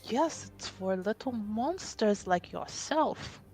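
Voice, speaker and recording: A woman playing a composed, fondly teasing noblewoman in a tabletop game, speaking in a measured, dry way. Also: medium pitch, expressive, darkish tone, faint music behind. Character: composed, gently teasing noblewoman, fond elder confidante.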